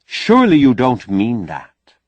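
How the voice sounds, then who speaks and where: Male narrator as flustered official, officious, apologetic, hesitant, very varied pitch, audiobook dialogue. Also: huge pitch swings, unhurried, a little mellow, dry recording, dull audio. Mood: taken aback. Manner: polite, apologetic, hesitant, fussy.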